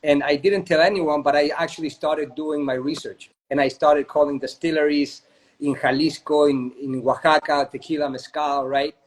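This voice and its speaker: A man's voice: on the high side, fairly even intonation, normal speed. Chatty Chilean-born man on a video call. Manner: chatty, often adds "right?". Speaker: guest, spirits company co-founder. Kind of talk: casual storytelling interview.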